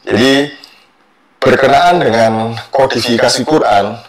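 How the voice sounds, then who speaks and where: A man speaks slowly and deliberately in a religious talk. His voice sits at an average pitch. He lays out his points carefully. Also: low-quality recording. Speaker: speaker in a clip being commented on.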